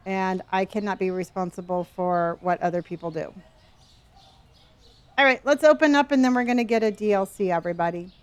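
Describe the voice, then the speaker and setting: A woman's voice: mid-range voice, fairly quick, clean tone. Chatty sixty-something woman streamer. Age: 60s. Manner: chatty, reads chat aloud, trails off and restarts. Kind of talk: casual live-stream chat with viewers.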